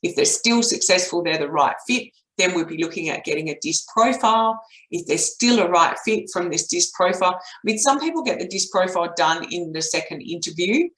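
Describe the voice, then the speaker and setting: A middle-aged woman with an Australian accent and a voice croaky from a cold, explaining steps as a business coach in a webinar. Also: fairly low pitch, lively intonation, a little fast, fairly bright tone. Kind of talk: explanatory webinar talk with step-by-step recap. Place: indoor home office, at a desk. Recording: roomy, echoey webcam audio.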